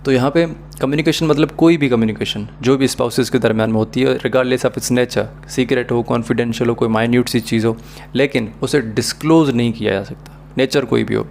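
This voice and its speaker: A man's voice: mid-range voice, steady tone, fairly quick, vocal fry, darkish tone, a little hiss or hum. A man with a Pakistani accent explains material as a law lecturer, in a methodical, didactic way. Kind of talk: explanatory lesson mixing Urdu and English.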